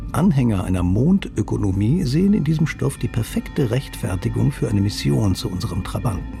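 A man with a deeply gravelly, creaky voice reads a scripted radio commentary in a dry, ironic tone. His pitch moves in lively arcs. Music plays clearly beneath him. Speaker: science columnist. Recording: music bed under voice.

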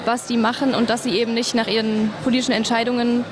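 A woman's voice: on the high side, flat delivery, quick pace, crowd noise. A teenage female student giving an interview, speaking hesitantly and repeating herself. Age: teens. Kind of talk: interview remarks.

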